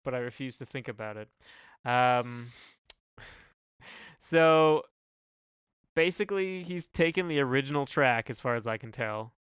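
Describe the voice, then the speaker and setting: A man with a mid-pitched, clean and resonant voice co-hosts a podcast. He talks casually about music, recorded dry with no room sound. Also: expressive, a little slow, long pauses, fairly bright tone, clean tone, no room sound. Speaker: podcast co-host.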